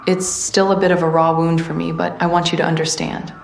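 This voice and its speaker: A woman playing a tough, weary resistance veteran who hands out missions in a video game, speaking plainly and in a measured way. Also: sits low, little pitch movement, gravelly, reverberant, slight background noise. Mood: somber, reflective. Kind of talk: video game character dialogue.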